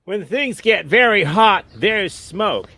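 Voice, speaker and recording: A man's voice: high-pitched, expressive, slow, pushed and bright, exaggerated voice. A man playing an earnest TV field reporter in a parody newscast, delivering trivial facts with solemn weight.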